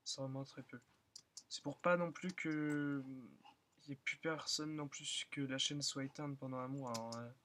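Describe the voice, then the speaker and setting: A young man who is still in school, slightly hoarse, rambling and thinking aloud with many fillers as he comments on his own gameplay. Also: medium pitch, fairly even intonation, measured pace, a little hiss or hum. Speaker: gaming channel host. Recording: loud keyboard and mouse clicking.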